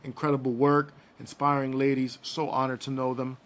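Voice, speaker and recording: A man hosting a casual podcast, slightly hoarse, playful and joking as he gives shout-outs and talks news. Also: medium pitch, level tone, moderate pace. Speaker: podcast host.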